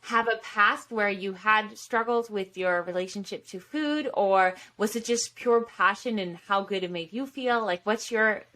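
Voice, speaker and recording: A woman hosts a casual podcast interview, asking curious questions. Her voice sits at a middle pitch. She is agreeable and keeps chiming in with short affirmations. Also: measured pace, hardly any pauses, bright, forceful tone. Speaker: podcast host.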